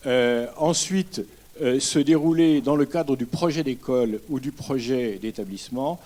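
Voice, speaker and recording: A man speaking from the floor at a public debate, explaining his point in a measured way with many hesitations. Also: medium pitch, darkish tone. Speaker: audience member at a public debate.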